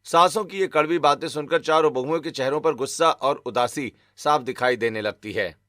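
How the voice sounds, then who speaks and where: A man's voice: on the high side, normal speed, a bit bright, dry recording. A man giving scripted narration for a cartoon family story.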